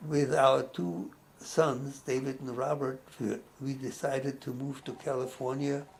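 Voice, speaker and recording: A man's voice: medium pitch, little pitch movement, gravelly, a little hiss or hum, rough recording. A man, reading his life story aloud and often stopping to restart a word. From Austria. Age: 90s. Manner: halting, restarts words mid-phrase. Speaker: memoir narrator.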